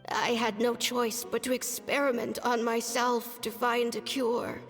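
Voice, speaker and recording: Slow, weary old witch doctor in reverb. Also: fairly high pitch, little pitch movement. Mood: grave, resigned. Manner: weary, grave, measured, wry. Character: old witch doctor, ailing stubborn female mentor. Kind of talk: dramatic video game voice acting. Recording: long reverb, game music underneath.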